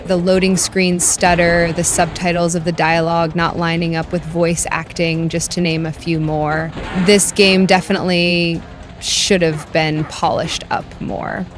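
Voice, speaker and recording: A woman's voice: lowish voice, little pitch movement, unhurried, noisy background. A woman reading scripted review narration as a video game reviewer, with music behind her.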